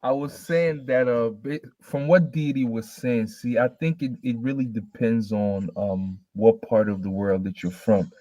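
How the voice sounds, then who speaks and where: A man from Nigeria speaking on an online debate panel, measured and a bit hesitant. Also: medium pitch, warm tone, no echo, rough recording.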